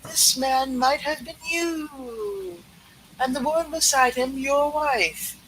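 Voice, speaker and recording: A woman playing a solemn, reproachful ghost in an amateur play reading, slow and deliberate, with some noise behind her. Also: high voice, fairly bright tone, rough recording. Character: solemn, reproachful ghost showing a miser his past.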